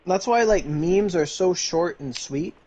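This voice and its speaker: A man's voice: sits high, measured pace, a little mellow, muffled recording, slight background noise. A man streaming, chatting casually in a rambling way full of fillers and restarts.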